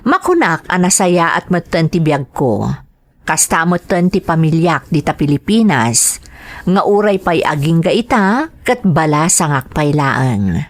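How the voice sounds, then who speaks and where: A woman with an Ilocano accent hosting a radio show, reading scripted material aloud with drawn-out phrase endings. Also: low voice, very animated. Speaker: radio drama host reading greetings and a listener's letter.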